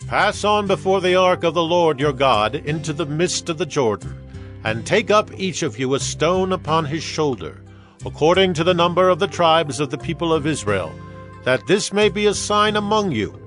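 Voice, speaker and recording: A commanding leader of ancient Israel in an audio scripture drama. His high voice swings widely as he proclaims to his people with solemn fervor, over music. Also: expressive, normal speed, a bit bright. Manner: proclamatory, addressing a crowd.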